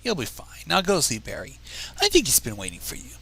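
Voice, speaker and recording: An earnest, friendly pony mare played by a man offers guidance in a storybook reading. The voice is bright and lifted, swinging up and down as she reassures. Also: a little high, wildly swooping pitch, fairly quick, vocal fry, exaggerated voice. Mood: reassuring. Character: kindly, reassuring female pony mentor giving guidance.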